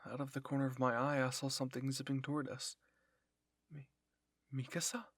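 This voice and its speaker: A man's voice: mid-range voice, completely flat delivery, quick pace, a bit bright, a little hiss or hum. A man narrating a dramatic fan-fiction story as a scripted audio reading.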